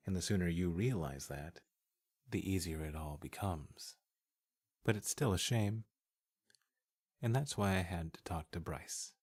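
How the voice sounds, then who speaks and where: A man working as a voice-over artist, reading scripted literary narration. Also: sits low.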